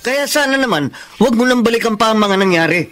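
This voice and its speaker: An actor playing a defensive, pushy, unfaithful husband in a Filipino romantic radio drama. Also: male voice, very high-pitched, expressive, fairly quick, fairly bright tone. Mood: defensive, exasperated.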